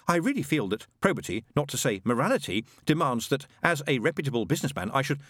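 A man puts on a storybook angel who is prim and fussy. He is a nervous, courteous male bookseller whose raised voice hesitates and swoops in pitch, recorded dry and close. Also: sing-song, fast, a bit bright, no echo. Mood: nervous, deferential. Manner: prim, hesitant, over-polite.

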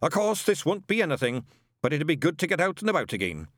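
A man voicing a gruff, zealous old male witchfinder sergeant. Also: on the high side, big pitch swings, fast, fairly bright tone, no echo, clean studio sound, voice pushed up. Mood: wistful.

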